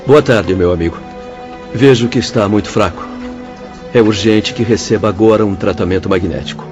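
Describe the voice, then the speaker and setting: A man's voice: fairly low pitch, normal speed, lightly acted. A man playing an authoritative, caring doctor in a radio drama, formal and commanding. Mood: kindly authority. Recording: dull audio over music.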